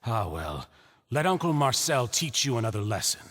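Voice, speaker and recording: A man's voice: mid-range voice, big pitch swings, a bit bright, a little hiss or hum. Condescending middle-aged businessman villain. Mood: patronizing. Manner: measured, condescending, theatrical. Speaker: voice actor in a game. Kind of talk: dramatic video game dialogue.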